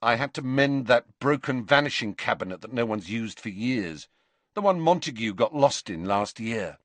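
A man's voice: medium pitch, expressive, moderate pace, a bit bright, dry recording, natural voice. A man voicing a frightened but defiant teenage boy wizard who speaks vehemently. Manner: tense and vehement.

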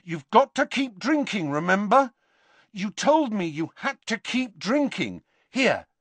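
Man as teenage boy, storybook wizard, high-pitched, anxious, insistent, strained, swooping intonation. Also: big pitch swings, unhurried, a bit bright, no echo, exaggerated voice. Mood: urgent coaxing.

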